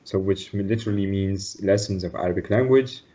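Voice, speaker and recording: A man's voice: low voice, normal speed, warm tone. A man from Tunisia tutoring a student one-on-one in an online lesson, patient and encouraging, often repeating his praise. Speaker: Arabic tutor. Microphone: video call. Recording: dull video call audio.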